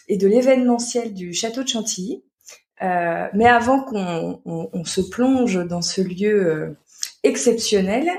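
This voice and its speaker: A woman's voice: medium pitch, darkish tone, breathy edge. A woman with a French accent speaking as the host and interviewer of a podcast. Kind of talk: podcast intro and conversational interviewing.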